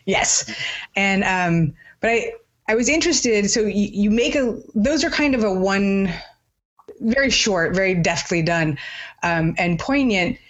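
A woman co-hosting a podcast, asking questions in a thoughtful, halting, conversational way. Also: medium pitch, expressive, lo-fi sound. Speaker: podcast co-host, interviewer.

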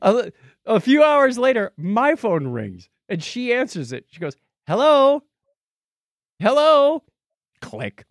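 A man's voice: very high voice, huge pitch swings, slow, dry recording, clean studio sound. A man co-hosting a podcast in casual chat, telling jokey stories and acting out both sides of conversations. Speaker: podcast co-host.

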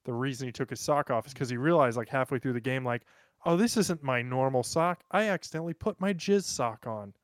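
A man's voice: medium pitch, fairly quick, no room sound. A man chatting and bantering casually as a podcast co-host, with a crude, joking manner.

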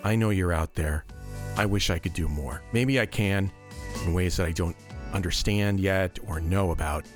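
A man's voice: low voice, normal speed, music in the background. An American man in his fifties hosting a podcast in a conversational way. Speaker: podcast host.

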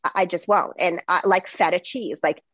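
A woman host in a casual conversation, chatty and animated, with lots of fillers. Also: on the low side, normal speed, slightly rough, no room sound, lo-fi sound. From United States.

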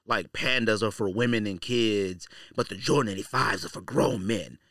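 A man's voice: lowish voice, moderate pace, a bit bright, no echo, studio quality. A man hosting a casual, off-the-cuff podcast monologue, talking in a slangy, blunt, conversational way. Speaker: sneaker podcast host.

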